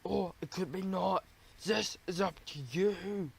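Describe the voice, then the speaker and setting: A man voicing a solemn, evasive psychiatrist mouse with a slow, deliberate, foreign-sounding accent in a children's storybook. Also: sits high, gravelly, slightly rough, voice pushed up, heavily acted. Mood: solemn, firm. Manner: slow, deliberate, drawn-out phrasing.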